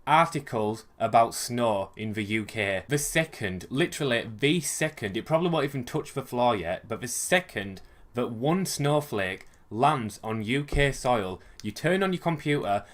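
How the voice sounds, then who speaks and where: Young man, West Yorkshire accent, sarcastic exasperated rant, heavy swearing, middle pitch, casual vlog to camera. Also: animated, moderate pace, fairly bright tone. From West Yorkshire, England. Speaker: vlogger. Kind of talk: ranting comic monologue to camera.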